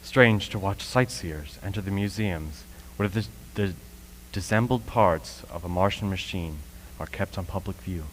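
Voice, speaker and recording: A man acting a solemn scholar who survived an invasion, narrating deliberately in a radio play. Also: low voice, poor audio. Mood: reflective, wistful. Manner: solemn, deliberate, with dramatic emphasis. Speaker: actor in a school radio play. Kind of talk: dramatic radio play monologue. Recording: faint hum and noise, live stream.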